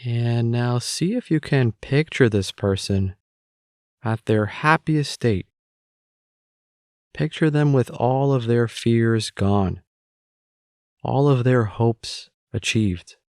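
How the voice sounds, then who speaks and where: A man guiding a meditation with calm, measured, gentle spoken instructions. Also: mid-range voice, no room sound, clean studio sound. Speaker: meditation guide.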